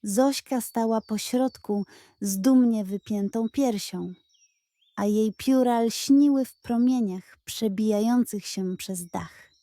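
A woman's voice: fairly high pitch, slow, mellow, no echo. A woman narrating a scripted children's bedtime story as the storyteller.

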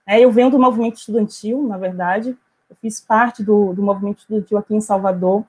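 A middle-aged woman from Bahia, giving an academic talk over a video call on an earphone headset, measured and full of hesitations. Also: mid-range voice, fairly even intonation, darkish tone, husky, poor audio. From Bahia, Brazil. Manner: measured, many hesitation fillers. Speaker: invited speaker, author. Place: small room at home, indoors.

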